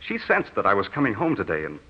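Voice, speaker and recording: An actor playing a lovestruck, haunted young returned soldier in an old radio drama, earnest and melodramatic. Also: male voice, on the low side, wildly swooping pitch, fast, breathy edge, exaggerated voice. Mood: elated. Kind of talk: 1940s radio drama acting. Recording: muffled, hissy old radio recording.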